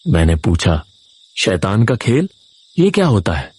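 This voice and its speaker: Low, husky male horror storyteller. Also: very animated, a little slow, a little mellow, dry recording. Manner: dramatic, suspenseful. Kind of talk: scripted first-person horror narration.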